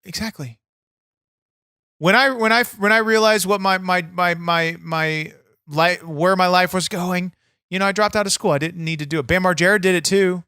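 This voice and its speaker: A man's voice: sits high, fairly even intonation, moderate pace, bright, forceful tone, clean tone, no echo, studio quality. A man playing a bitter, boastful wrestler persona on a comedy podcast, crude, swearing and easily irritated. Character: brash, bitter, foul-mouthed male wrestler persona. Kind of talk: improvised comedy podcast chat.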